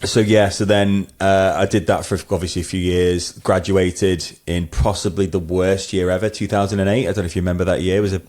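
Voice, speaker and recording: A middle-aged man with a low voice and a twangy blend of Scouse and Mancunian. He chats casually as an interview guest, rambling through anecdotes with a self-deprecating touch. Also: flat delivery, a little fast, few pauses, darkish tone. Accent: hybrid Scouse-Mancunian. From northwest England, Wirral and Manchester. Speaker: podcast guest, wedding photographer.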